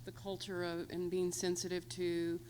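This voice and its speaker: A woman panelist answering a question in a halting way with many restarts. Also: lowish voice, completely flat delivery, a little mellow, a little hiss or hum. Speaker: hospital panelist.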